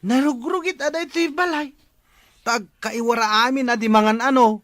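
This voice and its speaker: A man's voice: extremely high pitch, moderate pace, fairly bright tone, no echo, clean studio sound. A man playing a plain-spoken, obliging but scolding handyman husband in an Ilocano radio drama. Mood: reproachful.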